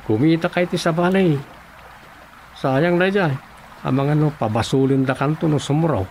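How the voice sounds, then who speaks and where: A man's voice: a little high, normal speed, a little mellow, natural voice. A man with an Ilocano accent playing an easygoing, grumbling village husband in a radio comedy drama, on a noisy recording. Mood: grumbling banter. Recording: noisy recording with background ambience.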